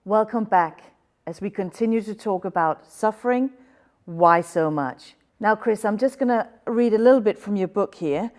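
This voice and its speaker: A woman's voice: medium pitch, moderate pace. Woman hosting a thoughtful panel discussion. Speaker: talk show host.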